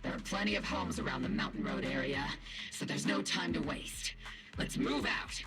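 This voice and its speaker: An actress playing a cool, commanding female military officer in an anime game, dry and measured, over loud music. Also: sits low, expressive. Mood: resolute.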